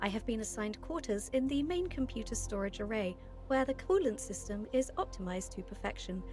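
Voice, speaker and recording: A woman's voice: fairly high pitch, expressive, a little mellow, natural voice. Woman playing a formal, unruffled female AI. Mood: serene, formal. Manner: measured, literal, stilted formal phrasing. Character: formal, unruffled female artificial intelligence overseer, oddly friendly. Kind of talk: improvised audio drama acting.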